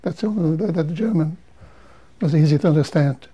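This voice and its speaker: Older man, measured halting testimony. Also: fairly high pitch, mellow, husky. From Romania. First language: Hungarian, Romanian, Yiddish, Hebrew. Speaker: interviewee. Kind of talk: testimony interview.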